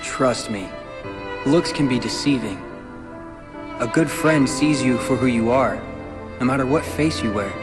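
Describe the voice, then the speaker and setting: An actor playing a guarded but earnest young male game hero, calm, sincere and gently encouraging. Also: mid-range voice, unhurried, long pauses, natural voice. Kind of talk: video game cutscene dialogue. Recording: game audio with loud music behind.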